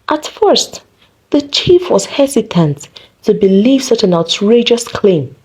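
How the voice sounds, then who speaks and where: A woman with a husky voice reads a scripted folktale as its narrator. Her delivery is unhurried and deliberate, with dramatic rises and falls in pitch throughout. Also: darkish tone. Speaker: storyteller, narrator.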